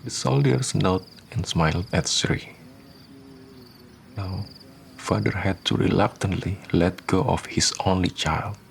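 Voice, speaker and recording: A man narrating a scripted radio drama as a solemn, measured storyteller. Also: low voice, gravelly, a little hiss or hum.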